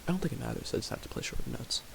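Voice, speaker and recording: A young man talking quietly in a raspy voice, rambling with fillers as he thinks aloud while making music. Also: very fast. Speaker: music producer streaming. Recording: home recording with audible hiss.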